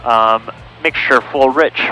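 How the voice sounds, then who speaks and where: Bright-voiced man coaching in a cockpit. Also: medium pitch, takes it slowly, soft background music, poor audio. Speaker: pilot in the cockpit, coaching the other pilot. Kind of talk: casual cockpit talk. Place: inside a small airplane in flight.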